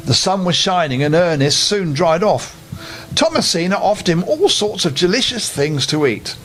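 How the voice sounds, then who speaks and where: A man's voice: high voice, a bit bright, rough recording. An older man reading a children's bedtime story expressively, speaking directly to his young listeners. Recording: clear background noise.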